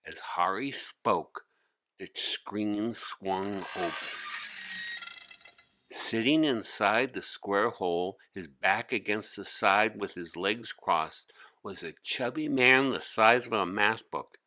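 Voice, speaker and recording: A man giving an expressive, scripted read-aloud narration of a children's story. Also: on the low side, slow.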